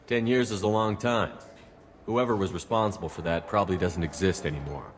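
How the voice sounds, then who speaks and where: An actor playing a calm, principled military commander in a video game, speaking plainly and directly. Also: male voice, low voice, a little fast, lightly acted. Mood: firm. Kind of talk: video game character dialogue. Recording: noticeable background ambient noise.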